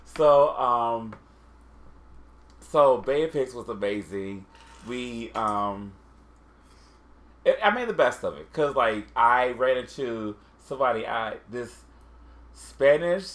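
A man chatting casually, hesitant, with frequent pauses and restarts. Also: mid-range voice, expressive, a bit bright. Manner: hesitant, lots of fillers and restarts. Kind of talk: casual chat.

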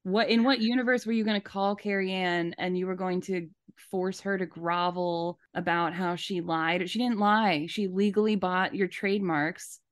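A woman's voice: on the low side, fairly even intonation, moderate pace, hardly any pauses, no echo, clean studio sound. A woman hosting a podcast, giving casual, opinionated commentary in an emphatic, rambling way. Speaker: podcast host.

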